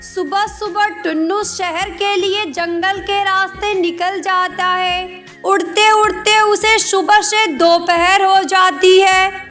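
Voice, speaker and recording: A woman's voice: very high voice, fairly even intonation, takes it slowly, fairly bright tone. A woman narrating a scripted children's cartoon story. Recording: music behind voice.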